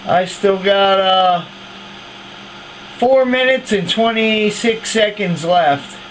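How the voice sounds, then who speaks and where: A man's voice: high-pitched, slow. A man bantering casually and teasingly as he records CB radio chatter. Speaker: CB radio hobbyist recording a video. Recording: very noisy CB radio room recording.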